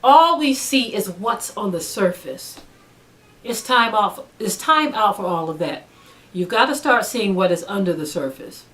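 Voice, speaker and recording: A woman's voice: medium pitch, fairly bright tone. A woman speaking as a religious teacher in a sermon style, earnest and emphatic, urging listeners with repeated phrases. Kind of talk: sermon-like spoken exhortation.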